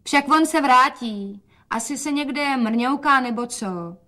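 A woman's voice: sits high, voice pushed up. Woman acting a weary, wistful sick father. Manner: slow, quiet, wistful.

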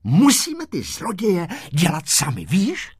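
A man's voice: high voice, wildly swooping pitch, breathy edge, no echo, clean studio sound, voice pushed up, exaggerated voice. A man voicing a bossy, exasperated schoolgirl in a storybook, with sassy colloquial talk. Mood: conceding, planning. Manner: sassy, colloquial, ordering others about. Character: bossy, exasperated schoolgirl scheming with her classmate.

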